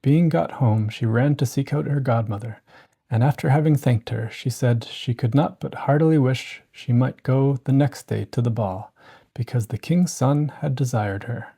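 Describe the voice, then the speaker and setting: A storyteller giving a gentle, scripted bedtime narration of a classic fairy tale. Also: mid-range voice, moderate pace, vocal fry, breathy edge.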